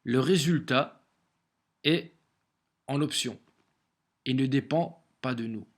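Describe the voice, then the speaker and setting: A middle-aged man with a head cold talks slowly to his camera from a room at home. He stumbles and repeats words often, his voice sitting at an ordinary pitch. The delivery is unhurried and loose, like thinking out loud. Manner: halting, many repeated words and restarts. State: has a cold. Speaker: vlogger sharing daily messages. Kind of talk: casual home video monologue. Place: small room at home, facing a window.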